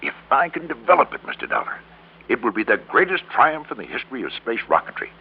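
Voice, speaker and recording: An actor playing a formal, self-important businessman of about sixty, speaking deliberately and grandly in classic radio drama style. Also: mid-range voice, wildly swooping pitch, creaky, breathy edge, heavily acted. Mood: grandiose, fervent. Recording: tinny, faintly noisy vintage radio recording.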